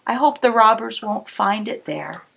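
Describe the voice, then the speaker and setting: A woman cantor reading a picture book aloud to children, pausing to explain the pictures, sometimes dropping to a whisper. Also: fairly high pitch, vocal fry, husky. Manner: slow, expressive storybook reading with soft whispered asides. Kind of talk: read-aloud storytime with asides to kids.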